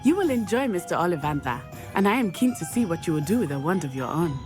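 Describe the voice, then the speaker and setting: An actress playing a polite, eager young witch in a video game, speaking formally and precisely with bright exclamations. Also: on the low side, sing-song, quick pace, soft background music. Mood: friendly. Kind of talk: video game cutscene acting.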